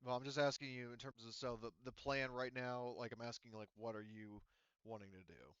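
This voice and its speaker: A man running an online tabletop roleplaying game as game master, explaining in a halting, circling way. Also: mid-range voice, fairly even intonation, fairly bright tone, smooth, no echo, dull audio. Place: remote online voice call.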